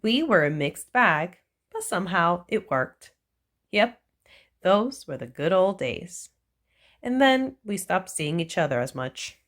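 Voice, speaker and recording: A woman reading a children's picture book aloud, with slow, playful storytelling and animated emphasis. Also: lowish voice.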